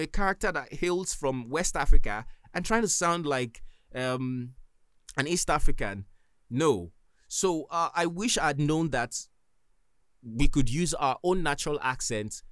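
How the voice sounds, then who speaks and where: A man's voice: on the high side, a bit bright, no room sound, studio quality. A man with a Nigerian accent, hosting a solo podcast and giving earnest, emphatic advice. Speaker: podcast host.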